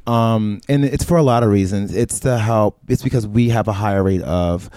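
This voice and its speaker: A man hosts a relaxed podcast chat, talking in an animated way with playful asides. His voice sits low and sounds soft and mellow. The recording is dry and close. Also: moderate pace, dry recording. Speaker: podcast host. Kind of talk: casual conversational interview.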